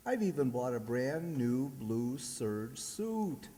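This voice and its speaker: Slow, mellow, bashful suitor played by a man. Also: medium pitch, very animated. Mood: bashful, pleased. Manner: hesitant, stiff. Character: bashful, earnest male schoolteacher and suitor. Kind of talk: radio comedy acting. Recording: echoey hall, long reverb.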